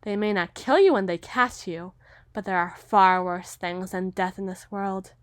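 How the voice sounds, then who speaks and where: A woman's voice: medium pitch, a little slow, no room sound, clean studio sound, lightly acted. A woman playing a condescending, possessive aristocratic huntress in a dramatic audio roleplay, speaking in formal, emphatic, theatrical phrases. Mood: commanding, menacing.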